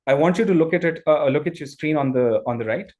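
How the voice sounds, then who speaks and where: A male trainer whose first language is Urdu, giving an energetic live online demo and often repeating and restarting his phrases. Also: on the high side, fast, dull audio.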